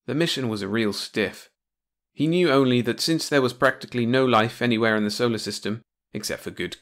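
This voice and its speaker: Male audiobook narrator, mid-pitched, scripted reading of comic pulp science fiction. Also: fairly bright tone.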